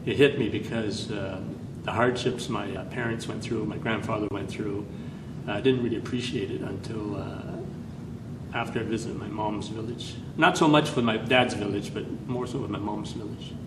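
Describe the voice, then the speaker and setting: A man from Canada talks through his family's past in a halting way, filling pauses with "uh" and restarting phrases. His voice sits a little low and sounds far from the mic. The room echoes, and a steady noise runs behind him. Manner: hesitant, many fillers and restarts. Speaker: interviewee. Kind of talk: personal history interview.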